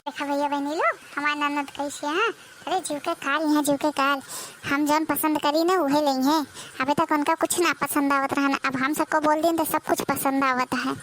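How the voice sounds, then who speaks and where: A woman's voice: high voice, steady tone, moderate pace, a bit bright, slight background noise. A woman voicing an aggrieved young village daughter-in-law in a comedy cartoon, complaining with a rustic Awadhi accent. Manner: complaining, rustic, addresses viewers directly.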